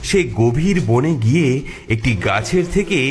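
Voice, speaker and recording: A man's voice: fairly high pitch, animated, normal speed, over music. A man narrating a scripted cartoon fairy tale as a dramatic storyteller.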